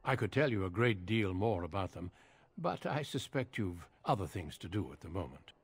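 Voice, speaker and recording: A man's voice: big pitch swings, normal speed, no echo, exaggerated voice. A man playing a grave, courteous middle-aged warrior commander in a fantasy video game, with formal, composed delivery. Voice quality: low, husky.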